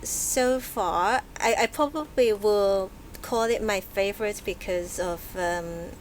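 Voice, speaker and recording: A woman's voice: mid-range voice, slight background noise. Thoughtful woman guest. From Hong Kong. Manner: thoughtful, halting, many fillers and restarts. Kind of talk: casual interview chat. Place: book and music shop, live event.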